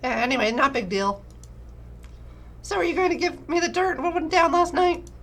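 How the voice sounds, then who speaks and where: A woman's voice: extremely high pitch, animated, moderate pace, a bit bright, a little hiss or hum, voice pushed up, full character voice. A woman voicing a breezy, teasing young woman friend from a dating sim visual novel. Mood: teasing, nosy.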